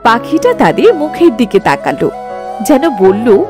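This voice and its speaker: A woman's voice: fairly high pitch, sing-song, measured pace, music in the background. A woman narrating a scripted children's moral story as the storyteller.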